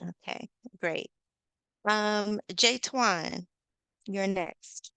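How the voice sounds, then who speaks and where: A woman's voice: medium pitch, drawn-out words, creaky, bright, forceful tone, clear, resonant tone, no echo. A woman hosting an online session over a video call, upbeat and encouraging, with lots of filler words. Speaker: host and facilitator.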